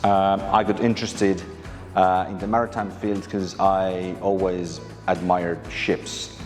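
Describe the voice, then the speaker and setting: Deep-voiced man from Finland, hesitant. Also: level tone, slow, a little mellow, long reverb tail, faint music behind. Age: 30s. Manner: hesitant, many fillers and restarts. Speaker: maritime lecturer. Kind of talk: casual interview answers.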